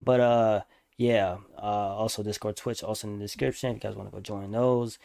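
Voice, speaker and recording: A man's voice: lowish voice, steady tone, fairly quick, vocal fry, dark tone, no room sound, studio quality. A young man giving casual, slangy reaction commentary in a laid-back way. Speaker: reaction video host. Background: game trailer music.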